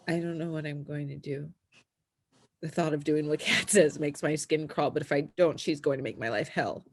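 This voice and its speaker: A woman playing an anxious, frustrated transgender teenage snowboarder in a screenplay table read, with a husky voice. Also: deep voice, steady tone, normal speed. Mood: anxious, dejected.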